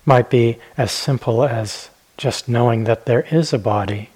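A man teaching guided meditation in a gentle, unhurried manner, leaving long pauses between phrases. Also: medium pitch, breathy edge.

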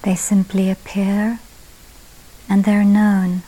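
A woman leading a calm guided meditation as a teacher, speaking in sparse, unhurried phrases with soft, breathy endings. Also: mid-range voice, poor audio.

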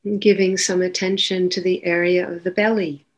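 A woman leading a guided meditation as a teacher, giving gentle, unhurried instructions with long pauses between phrases. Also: lowish voice, level tone, rough recording.

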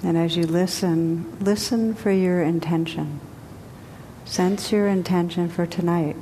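A woman teacher guiding a group meditation in a gentle, unhurried way with long pauses. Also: steady tone. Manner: slow, gentle, spacious pauses. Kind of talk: guided meditation. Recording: long hall reverb, faint rumble.

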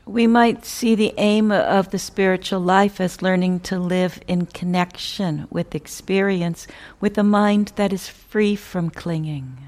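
A woman teaching a guided meditation in a measured, contemplative way, pausing between short phrases and softly trailing off at the ends. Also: mid-range voice, steady tone.

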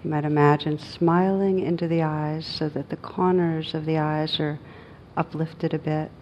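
A woman with a low voice leads a guided meditation. Her delivery is gentle and very even, with little rise or fall and long, unhurried pauses between phrases. A faint noise and rumble sit behind her. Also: lo-fi sound. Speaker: meditation teacher.

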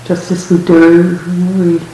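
A woman teaches guided meditation in a soft-edged, mellow voice that stays very even in pitch. She is calm and leaves long pauses between short phrases. The recording is strongly echoey, with a faint hiss. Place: large room at a retreat center.

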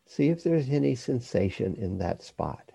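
A man teaching a guided body scan meditation, giving measured instructions in short phrases with pauses between them. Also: mid-range voice, big pitch swings, vocal fry, dark tone, slightly rough, dry recording.

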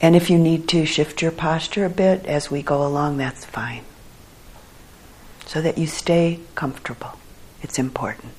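A woman leading a guided meditation for a group as their teacher, speaking in a calm, measured way with long pauses. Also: low-pitched, husky.

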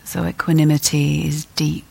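A woman teacher leading a guided meditation in a gentle, deliberate way, leaving long pauses between phrases. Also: low voice, flat delivery, vocal fry, husky.

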